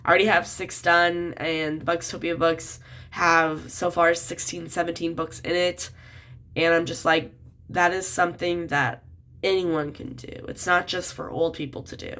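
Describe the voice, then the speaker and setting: A woman's voice: lowish voice, flat delivery, moderate pace, fairly bright tone. A woman in her twenties, a children's book author, talking casually to camera while a bit sick, with lots of "um" and "like" fillers. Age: 20s. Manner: chatty, many "um" and "like" fillers.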